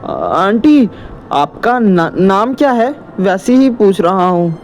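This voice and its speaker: A man's voice: high voice, sing-song, takes it slowly, faint music behind, pitched above the performer's own voice, exaggerated voice. A man voicing a timid, anxious village boy in a horror cartoon story, fearful and pleading. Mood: hesitant.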